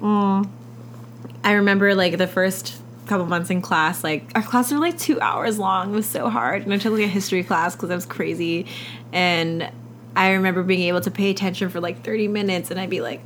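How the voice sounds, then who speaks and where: A woman's voice: medium pitch, steady tone, fairly quick, fairly bright tone, slight background noise. A young adult woman from California, a podcast guest telling stories in a chatty way, full of "like" and frequent laughter. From California, USA. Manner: chatty storyteller, many "like" fillers, frequent laughter. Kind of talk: casual conversational interview.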